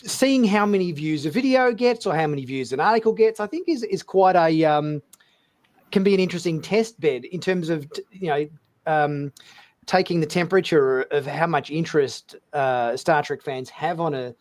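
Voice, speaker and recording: A man's voice: on the high side, moderate pace. A man hosting a casual livestream panel chat, speaking in a hesitant, rambling way. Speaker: host.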